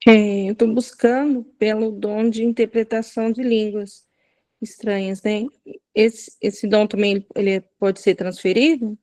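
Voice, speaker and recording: A woman's voice: mid-range voice, level tone, unhurried, mellow, no room sound. A woman student asking her teacher questions tentatively, adding small tag words as she speaks.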